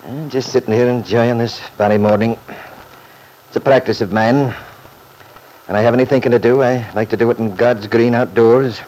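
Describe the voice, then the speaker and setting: An actor playing a plainspoken, opinionated middle-aged Scottish country lawyer in an old-time radio drama, folksy and firm. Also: male voice, mid-range voice, steady tone, moderate pace, darkish tone, close to an everyday voice. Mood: genial. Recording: noisy vintage radio recording.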